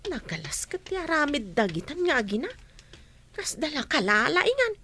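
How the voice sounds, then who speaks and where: A woman's voice: sits high, big pitch swings, moderate pace, pushed and bright, slight background noise, exaggerated voice. A woman playing a plucky, cheeky housemaid who talks back, in a radio drama. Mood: scolding.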